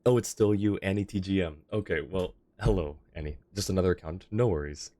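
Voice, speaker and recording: A young man chats casually as he streams a game. His voice sits low, and the close microphone sounds very dry, with no room on it. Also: brisk, dark tone, no room sound. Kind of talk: casual livestream chat and game commentary.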